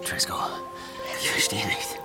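An actor playing a loyal, troubled young scout who speaks tersely and hesitantly in a German radio play. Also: male voice, unhurried, over music, lightly acted. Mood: confused, torn.

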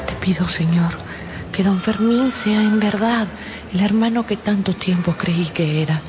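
An actress playing an anguished, devout, betrayed married gentlewoman in a radio drama. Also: mid-range voice, measured pace, over music, close to an everyday voice. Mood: prayerful, remorseful. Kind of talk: Spanish-language radio drama acting.

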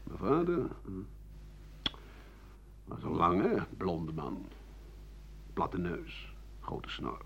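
A man's voice: sits low, big pitch swings, very slow, gravelly, long pauses, slight background noise, heavily acted. An actor playing an embittered ageing writer and doctor who rambles sardonically through his memories in a radio monologue. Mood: bitter reminiscing. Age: older. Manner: rambling, bitter, sardonic, with muttering and dry chuckles. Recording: dull 1980 radio play recording.

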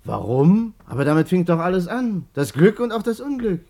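Boastful ladies' man, very animated pitch, insinuating colloquial delivery, vintage radio drama. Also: normal speed, exaggerated voice. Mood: boastful recounting. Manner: colloquial, insinuating, emphatic storyteller. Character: smooth-talking, boastful ladies' man, Berlin salon helper. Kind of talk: 1950s German radio play acting.

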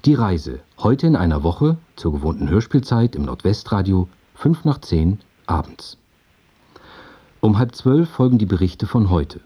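A male radio announcer with a very low, dark voice and a slightly husky edge. He speaks in a measured, formal way, as if closing a programme from the studio. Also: sing-song. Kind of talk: scripted programme announcement and sign-off. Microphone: broadcast studio microphone.

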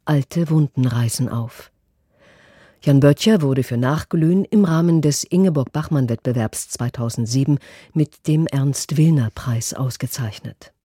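A male radio announcer gives a plain, informative introduction in a mellow, slightly husky voice. The recording is close and dry. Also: mid-range voice, a little slow, no room sound. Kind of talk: broadcast introduction.

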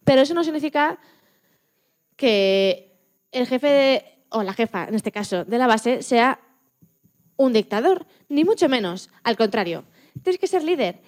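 Woman playing a high-voiced, chatty Spanish scientist. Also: a little slow, long pauses. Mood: earnest. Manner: expressive, chatty, self-correcting. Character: chatty, proud, enthusiastic woman Antarctic scientist. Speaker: interview guest.

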